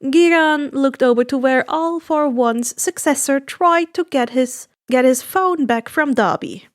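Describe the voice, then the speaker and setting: A woman reading fan fiction aloud as an expressive story narrator. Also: high-pitched, a little slow, no room sound, studio quality.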